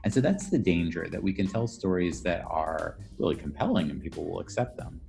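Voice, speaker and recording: Male history professor in interview: low register, articulate, reflective, explanatory. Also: expressive, brisk, gravelly, darkish tone, soft background music. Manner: articulate, reflective, rephrases as he goes. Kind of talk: thoughtful explanatory interview answers.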